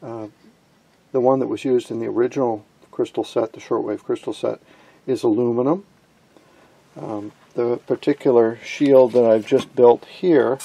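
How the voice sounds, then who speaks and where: A man giving an informal hands-on electronics tutorial in a gravelly, slightly husky voice, posing questions and answering them. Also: medium pitch, warm tone. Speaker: electronics hobbyist presenter.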